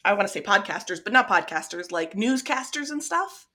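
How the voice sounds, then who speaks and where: A Midwestern woman in her forties talks as a podcast guest, earnest and quick to agree. Her voice is bright and clear at a middle pitch. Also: expressive. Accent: American Midwest. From American Midwest. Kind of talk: conversational interview.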